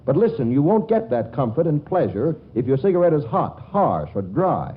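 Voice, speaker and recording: A man with an American accent delivering a 1940s radio commercial pitch as the announcer. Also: big pitch swings, moderate pace, slightly rough. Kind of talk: 1940s cigarette advertising pitch. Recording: muffled 1940s radio broadcast, faint music.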